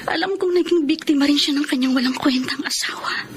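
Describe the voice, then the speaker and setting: An actress playing an honest, troubled young woman in a Filipino radio drama, expressive and earnest. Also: sits high, fairly quick, background noise, close to an everyday voice. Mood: anxious, brooding. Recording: music under the voice.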